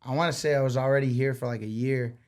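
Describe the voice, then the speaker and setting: A man's voice: medium pitch, fast. A man from Baja, Mexico, raised in San Diego, telling stories as a rapper guest in a casual interview, full of slang and swearing. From born in Baja, Mexico, raised in San Diego. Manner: slangy, animated storytelling with frequent "like" and swearing.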